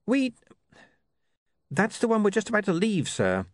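An earnest young scribe from a comic storybook , voiced by a man. He is polite and respectfully questioning, with a raised, animated voice. The recording is close and dry. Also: high voice, measured pace, dry recording, pitched above the performer's own voice, exaggerated voice. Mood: correcting gently.

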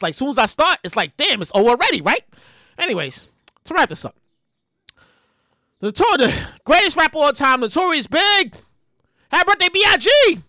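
A podcast host, talking casually and comically in an animated, slangy way with lots of repeated words. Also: sits high, no echo, studio quality. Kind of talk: casual comedic monologue.

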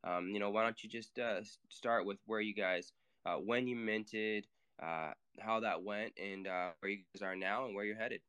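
A man's voice: fairly low pitch, steady tone, moderate pace, fairly bright tone, smooth. A man co-hosting a casual online audio chat, enthusiastic and asking long, rambling questions. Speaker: co-host.

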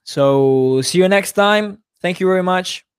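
Guy hosting a fan podcast over a video call, kinda high voice, dry sound, lots of uhs. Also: a little slow, smooth, no echo. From Italy. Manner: non-native English, hesitant with many uh fillers. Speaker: podcast host. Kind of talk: casual chat.

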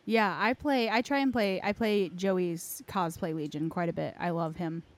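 A woman's voice: lowish voice, moderate pace. A woman hosting a casual gaming chat, talking informally and anecdotally with lots of "like". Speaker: podcast host, gamer.

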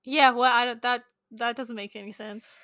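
A woman with a sharp, bright voice pitched a little high co-hosts a book podcast. She talks in a casual, chatty style, recorded very dry and close. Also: fairly even intonation, moderate pace, no room sound. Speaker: podcast co-host.

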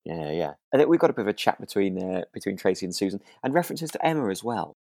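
A man with a very low voice talks casually as a podcast co-host. His phrasing is tentative and rambling, with frequent circling back. The sound is very dry and close, free of room echo. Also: very animated, fast talker, gravelly, a little mellow. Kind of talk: casual discussion of a radio drama.

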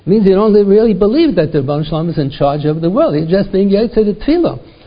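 A man's voice: sits high, expressive, fast. An older American man, a rabbi giving a Torah lecture to a congregation, repeating words and asking rhetorical questions, peppered with Yiddish and Hebrew terms. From United States. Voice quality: gravelly, husky. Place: synagogue lecture. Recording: dull lo-fi recording.

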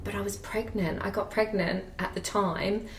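A woman's voice: mid-range voice, fairly even intonation, moderate pace, a little hiss or hum. A woman, a podcast guest chatting casually and self-deprecatingly about her work, laughing easily. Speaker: podcast guest, social media marketer. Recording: roomy sound, distant from the mic.

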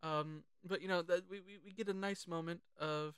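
An excitable, sweary podcast host chatting casually about films. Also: medium pitch, moderate pace, smooth, dry recording, studio quality. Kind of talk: casual film chat with quoted lines.

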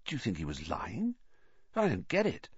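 A man's voice: lowish voice, huge pitch swings, moderate pace, breathy edge, dry recording, muffled recording, heavily acted. A man voicing a weary, grumbling schoolboy with a heavy, complaining manner in a storybook. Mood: weary, puzzled. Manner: heavy, complaining, plain-spoken.

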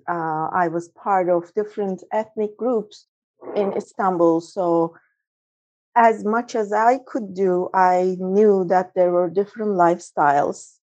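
A woman's voice: on the low side, a little mellow. A woman from Turkey, talking reflectively in a casual group discussion over a video call. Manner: reflective, searching for words. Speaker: discussion participant. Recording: dull lo-fi video call audio.

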